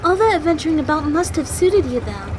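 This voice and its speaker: A woman's voice: high-pitched, sing-song, fairly quick, noisy background. An actress playing a plucky, chatty young girl in an audio drama, breezy, wry and teasing. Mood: curious.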